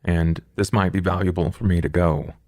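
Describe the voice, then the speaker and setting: A man voicing an eerie, composed vampire artist in a tabletop game, with slow, formal, deliberate phrasing. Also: low-pitched, steady tone, a little mellow, dry recording, clean studio sound, lightly acted. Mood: measured, intent. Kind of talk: tabletop roleplay in character.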